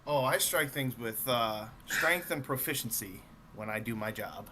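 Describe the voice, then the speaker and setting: A man's voice: medium pitch, animated, measured pace, pushed and bright, slight background noise. A man playing a confident, seasoned blacksmith in a tabletop game, polite and proud of his craft. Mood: proud, assured. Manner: polite, self-assured.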